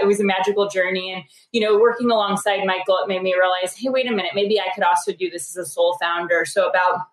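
A woman in her thirties, talking quickly and cheerfully as an interview guest, with frequent laughs and fillers. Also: on the low side, a bit bright. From United States. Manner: quick, upbeat, laughs often, many fillers. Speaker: guest, startup co-founder. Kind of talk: casual interview chat. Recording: roomy echo.

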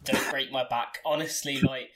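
A man's voice: medium pitch, fairly even intonation, normal speed, a crowd in the background. A man in his late twenties hosting a podcast from his bedroom, talking casually with frequent restarts and laughter. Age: 20s. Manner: chatty, laughs often, many restarts. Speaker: podcast host.

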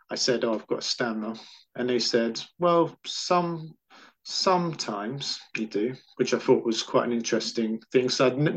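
A man's voice: mid-range voice, poor audio. A man with a stammer, answering questions in a casual interview, repeating syllables and words as he talks.

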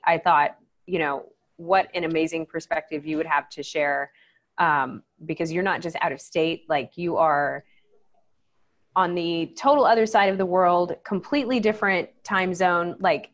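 A woman hosting a podcast, talking in an informal, upbeat, conversational way. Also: on the low side. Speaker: podcast host. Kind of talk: casual conversational interviewing.

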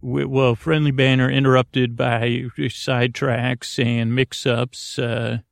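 A man hosting a bedtime sleep podcast in a creaky, lulling voice, rambling haltingly with stammers and half-finished words. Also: mid-range voice, little pitch movement, dry recording, clean studio sound. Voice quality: creaky, gravelly. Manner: meandering, halting, full of stammers and unfinished words; lulling, soothing. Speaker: podcast host.

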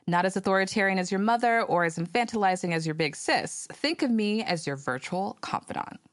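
A woman's voice: medium pitch, fairly quick, dry recording. Sassy woman podcast host, dry studio sound. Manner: sassy, animated commentary with slang. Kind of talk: casual podcast talk with ad reads.

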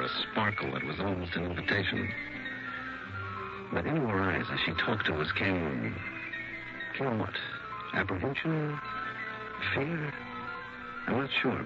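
A man's voice: low-pitched, gravelly, exaggerated voice. An actor playing a wry, worldly male insurance investigator in 1960s radio drama, with clipped hard-boiled storytelling. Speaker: narrator and lead actor of a detective radio series. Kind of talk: 1960s radio drama acting, first-person narration. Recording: dull vintage radio recording with music.

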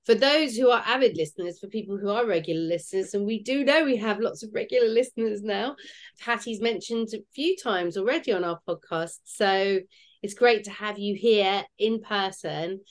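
A woman's voice: mid-range voice, normal speed. Cheerful woman co-hosting. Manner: cheerful, welcoming. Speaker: podcast co-host. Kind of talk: casual podcast chat.

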